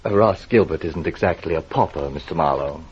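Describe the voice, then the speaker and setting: A man's voice: a bass voice, brisk, a little mellow, a little hiss or hum. A man playing a polite, evasive middle-aged dress designer with an American accent, in 1940s radio acting style. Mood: polite, defensive. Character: guarded, polite male dress designer, forty-five. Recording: dull vintage radio recording.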